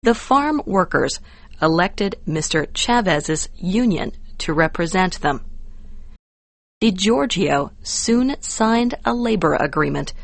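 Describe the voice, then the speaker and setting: An American woman reading scripted educational narration for English learners, measured and carefully enunciated. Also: on the low side, lively intonation, vocal fry, dry recording.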